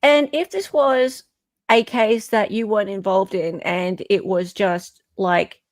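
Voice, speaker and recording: A woman's voice: mid-range voice, steady tone, slow, dry recording, clean studio sound. An Australian-accented woman hosting casual reaction commentary in a blunt, incredulous, sweary way. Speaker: host.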